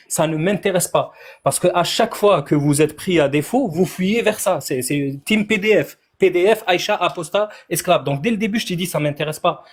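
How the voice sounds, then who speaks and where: Casting note: man, a bit higher voice, defensive debater dodging the topic in voice chat. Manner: defensive, deflecting, repeats "attends" and "tu vois". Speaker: debate guest. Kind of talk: heated online debate.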